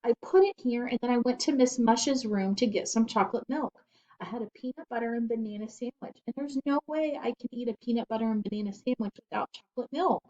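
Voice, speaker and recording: A woman's voice: fairly high pitch, a little fast, dark tone, highs cut off, pitched above the performer's own voice, close to an everyday voice. A woman voicing a fretting schoolgirl with an insistent, plaintive manner in a children's storybook. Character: schoolgirl fretting over her missing lunch. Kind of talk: children's storybook read aloud.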